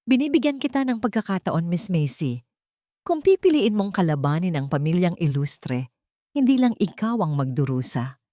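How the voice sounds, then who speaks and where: A woman's voice: fairly low pitch, sing-song, moderate pace, warm tone, no echo, clean studio sound. A woman with a Filipino accent voicing a menacing older male lawyer, cold and condescending, in an audio drama story. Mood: threatening.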